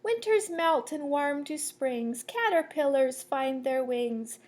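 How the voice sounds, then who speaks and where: A woman with a high voice reads aloud to children at a slow, deliberate pace. She has the manner of a teacher sharing a picture book, giving the lines a sing-song, storytelling shape. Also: expressive, a little mellow. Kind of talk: children's read-aloud storytelling with asides to listeners.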